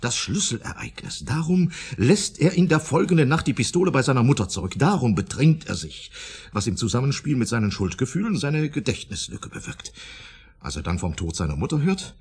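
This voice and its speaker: A man's voice: mid-range voice, big pitch swings, a little fast, darkish tone, breathy edge. An actor playing a self-important male psychotherapist with a pompous, lecturing manner in a German radio play. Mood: lecturing, insistent. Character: self-important married male psychotherapist, blind to his failings.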